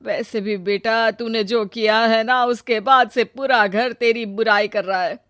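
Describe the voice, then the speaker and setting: A woman's voice: a little high, fairly even intonation, measured pace, fairly bright tone, no room sound, clean studio sound. A woman voicing a fussy doting mother. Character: doting middle-aged mother, indulgent and fussy. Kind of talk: Hindi cartoon story voice acting.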